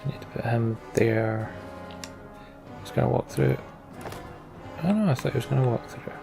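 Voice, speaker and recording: A man's voice: medium pitch, lively intonation, normal speed, creaky, over music. A man giving casual, informal gameplay commentary, half talking to himself with sweary, sardonic asides. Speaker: let's play host.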